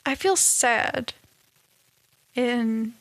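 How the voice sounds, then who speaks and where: A woman talking in a casual, reflective monologue, halting and restarting often, with a slightly nasal, stuffed-up voice. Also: fairly high pitch, animated, no echo. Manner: halting, many restarts and soft laughs. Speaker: vlogger.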